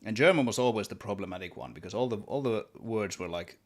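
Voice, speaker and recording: A man with a Swedish accent and a deep, low voice chats casually while he works at his desk. He thinks aloud, restarting and repeating words in a relaxed, rambling way. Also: fast. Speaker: solo game developer streaming. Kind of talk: casual livestream chat while working.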